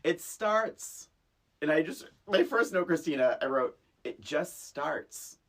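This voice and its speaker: Middle-aged woman, husky, low and animated. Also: slow pace. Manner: emphatic, repeats words, breaks into laughter. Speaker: podcast co-host. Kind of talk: casual comedic movie-discussion chat.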